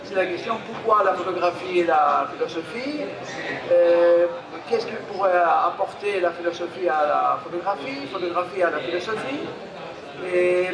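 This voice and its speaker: A man introduces a panel as its moderator in a high voice. His phrasing is measured and a little hesitant. The space echoes, and a crowd is clearly audible behind him. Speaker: debate moderator. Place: busy book fair stand. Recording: echoey room with crowd noise.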